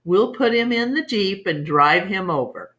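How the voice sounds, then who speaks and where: A woman's voice: medium pitch, lively intonation, a little slow, fairly bright tone. A woman voicing a stern, practical rural father with a blunt, folksy manner in a storybook. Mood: matter-of-fact.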